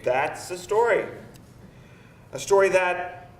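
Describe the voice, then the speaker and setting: A man's voice: sits high, lively intonation, takes it slowly. A man acting a dry, curious, teasing young man in a live screenplay reading. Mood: amused, teasing. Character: dry, curious young man hearing his date's stories. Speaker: actor at a screenplay table read. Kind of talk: conversational live script reading. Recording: echoey room, distant mic, faint noise.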